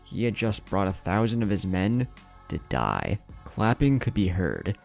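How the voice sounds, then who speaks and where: A man with a low, lively voice narrates a dramatic story. His delivery swings in pitch while keeping a gravelly edge, with music underneath. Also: measured pace. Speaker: fan fiction narrator.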